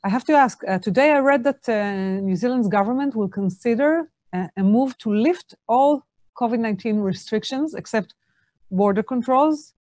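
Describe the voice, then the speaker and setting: A woman's voice: on the high side, darkish tone, dry recording. A woman hosting an interview, asking questions hesitantly with many fillers.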